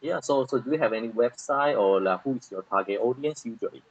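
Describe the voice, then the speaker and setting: A man's voice: mid-range voice, fairly quick, breathy edge, dry recording, muffled recording. A man from Taiwan interviewing a guest, giving frequent short affirmations and summarizing her answers. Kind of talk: interview hosting.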